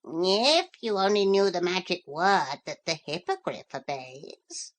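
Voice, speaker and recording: A woman's voice: fairly low pitch, very animated, slow pace. A woman voicing a bossy, talkative parrot guide in a storybook reading. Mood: chatty.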